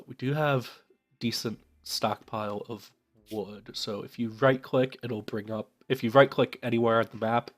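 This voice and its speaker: A young man with a stuffed-up, nasal voice from a cold, casually commenting on a game while thinking aloud with many fillers. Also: fairly low pitch. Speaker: let's play host.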